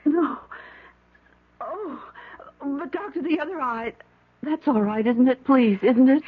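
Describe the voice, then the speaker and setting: An actress playing an anxious, distraught wife in a 1970s radio drama, pleading fearfully. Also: female voice, high voice, takes it slowly, slightly rough, full character voice. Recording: tinny old radio broadcast.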